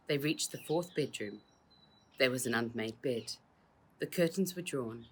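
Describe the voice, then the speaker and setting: A woman with a very deep, slightly husky voice narrates a children's book. Her delivery is measured and expressive, like an author reading their own story aloud.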